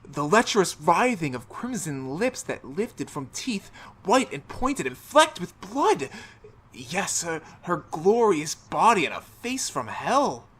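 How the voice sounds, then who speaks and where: A woman performs an eerie voice from a nightmare in a vintage radio horror play. It is lowish and husky, drawn out slowly with lurid melodrama and sweeping pitch. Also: big pitch swings, heavily acted. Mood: horrified. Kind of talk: 1930s radio horror acting.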